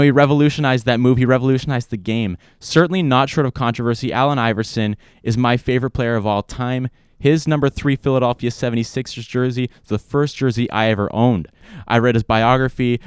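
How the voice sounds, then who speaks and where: A man's voice: medium pitch, clean tone, no room sound. A young man hosting a casual sports talk show in a studio, enthusiastic and a little rambling. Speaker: podcast host.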